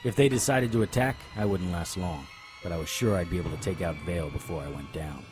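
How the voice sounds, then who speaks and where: A man's voice: sits low, fast, music in the background, natural voice. An actor playing a tough, determined private investigator in an audio drama, terse and serious as he narrates and speaks. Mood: tense narration. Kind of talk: first-person audio drama narration and dialogue.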